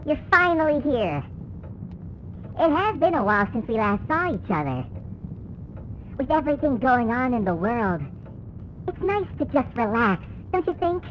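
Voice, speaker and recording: A woman's voice: fairly high pitch, muffled recording, slight background noise. A woman playing a cheerful, playful and bossy children's show host in an analog horror cartoon, with a sing-song, exaggerated delivery. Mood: cheerful, wistful.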